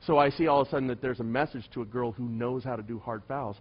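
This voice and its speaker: A middle-aged male pastor with a soft voice, telling a story in a sermon and swinging between loud emphasis and hushed asides. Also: mid-range voice, fairly even intonation, fast, muffled recording.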